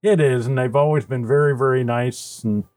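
A man's voice: mid-range voice, darkish tone, no echo, close to an everyday voice. Man playing a folksy, jolly Santa Claus. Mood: warm, sincere. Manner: folksy, unhurried, exclaiming. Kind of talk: casual interview in character.

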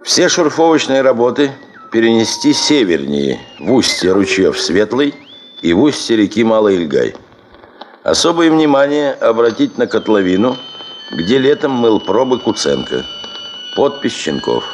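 An actor playing a middle-aged, exacting expedition chief in a radio play, speaking with measured authority. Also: male voice, medium pitch, very animated, background noise. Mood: commanding. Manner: measured, authoritative, clipped orders. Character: commanding, exacting male chief of a gold-prospecting expedition.

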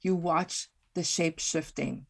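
Woman with a low raspy fry voice, podcast guest, thoughtful, lots of ums. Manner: halting, reflective, many fillers and restarts. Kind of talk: casual podcast conversation.

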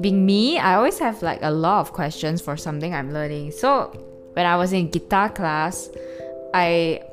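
Chatty young woman, low voice, music behind. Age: early 20s. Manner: chatty, rambling, full of fillers and restarts. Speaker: podcast host. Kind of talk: casual personal monologue. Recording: background music clearly audible.

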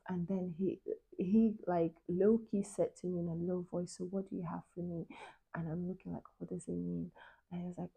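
Chatty young woman, mellow voice. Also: on the low side, creaky, breathy edge, low-quality recording. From Nigeria. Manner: chatty, animated, many fillers and laughs. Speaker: travel vlogger. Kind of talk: casual storytelling to camera.

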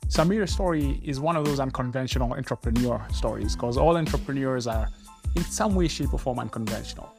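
An energetic man opens and runs a podcast interview, eager and talkative. His voice sits in an ordinary middle pitch. Music plays clearly underneath him. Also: brisk. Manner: energetic, enthusiastic intro with repeated restarts. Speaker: podcast host.

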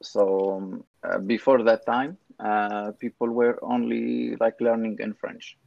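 Syrian accent guy, lowish voice, lots of uhs and ums, dull dry sound. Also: steady tone, creaky, dry recording. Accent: Syrian Arabic. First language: Arabic. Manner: halting, many fillers and restarts. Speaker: interviewee. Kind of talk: casual interview.

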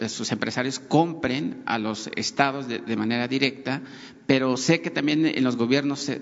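A man with a creaky voice speaks as a reporter addressing an official. He stumbles and restarts through a long-winded question. His voice is slightly muffled and carries a long hall reverb. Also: mid-range voice. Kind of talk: spoken press question.

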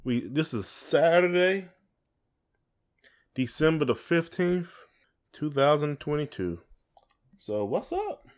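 A man's voice: fairly high pitch, very animated, slow pace, pauses often. A man with a Southern American accent co-hosting a podcast in a casual, folksy, teasing chat. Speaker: podcast co-host.

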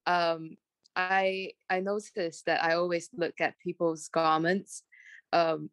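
Young adult Malaysian woman, mixed transatlantic accent, lowish voice, dry close sound, rambling guest. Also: flat delivery, slow, a bit bright, no room sound. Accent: Malaysian with British and American traces. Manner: rambling, many "like" and "um" fillers, self-restarts. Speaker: guest, fashion graduate. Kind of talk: casual podcast interview.

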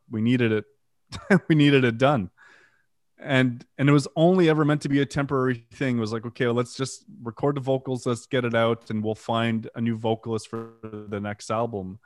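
A man's voice: medium pitch, fairly even intonation, moderate pace, no echo, studio quality. French Canadian man chatting loosely. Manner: rambling with fillers, self-deprecating, swears casually. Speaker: band vocalist and guitarist. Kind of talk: casual interview, storytelling.

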